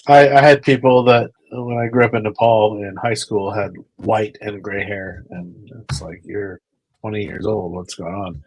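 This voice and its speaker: A man's voice: fairly low pitch, steady tone, normal speed, darkish tone, husky, no room sound, rough recording. A man chatting on a video call, rambling into stories and repeating words as he goes. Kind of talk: casual chat.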